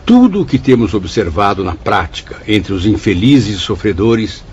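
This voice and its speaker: An actor with a Brazilian accent playing a dignified spirit who speaks in a solemn, literary radio drama style. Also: male voice, lowish voice, big pitch swings, normal speed, darkish tone, a little hiss or hum. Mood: reassuring. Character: dignified male spirit recounting his afterlife service. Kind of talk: dramatised first-person narration. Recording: dull lo-fi track, music bed.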